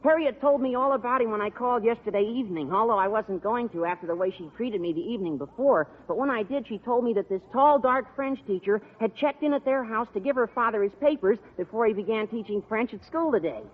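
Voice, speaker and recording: An earnest, whiny teenage boy, pitched high and rambling wordily about his troubles. It is old radio sitcom acting, heard through a thin, phone-like vintage broadcast. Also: quick pace, hardly any pauses. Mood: worried, earnest. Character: earnest, long-winded, lovesick teenage high school boy.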